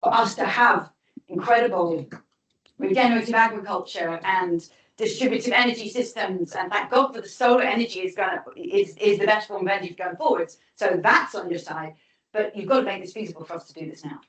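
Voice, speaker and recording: A woman's voice: medium pitch, a little fast, a bit bright. A woman giving a guest lecture and answering audience questions, thoughtful, often restarting and reframing as she goes. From United Kingdom. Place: lecture hall. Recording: echoey lecture hall, mic from far off.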